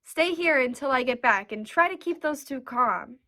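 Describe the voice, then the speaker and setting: Woman acting a wisecracking studio worker, take-charge, high bright voice, radio drama. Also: animated, moderate pace. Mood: brisk, wry.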